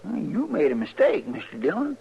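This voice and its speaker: An actor playing an earnest, simple-hearted, deferential marshal's sidekick in a 1950s radio western, eager and folksy with a creaky voice. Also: male voice, sits high, wildly swooping pitch, brisk, full character voice. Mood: puzzled, dawning. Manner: deferential, eager, plain-spoken. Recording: dull, noisy old radio recording.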